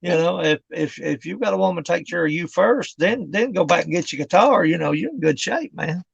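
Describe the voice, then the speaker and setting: Fifty-ish male host, slightly high, gravelly, easygoing and laughing, dry dull lo-fi sound. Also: expressive, a little fast, no echo. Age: 50s. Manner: laughs often, stammering restarts, easygoing. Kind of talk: casual chat.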